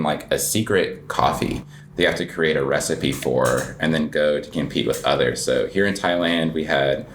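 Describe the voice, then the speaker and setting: A man, perhaps in his thirties, chatting casually as an interview guest about coffee, rambling with lots of filler words. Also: very deep voice. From United States. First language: English. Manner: relaxed, rambling, heavy filler words, self-deprecating laughs. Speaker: guest, cafe owner and coffee competitor.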